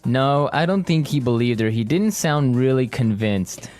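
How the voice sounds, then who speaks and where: A man co-hosting an English lesson podcast, upbeat and repeating phrases slowly for learners to copy. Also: mid-range voice, lively intonation. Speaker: podcast co-host, English teacher.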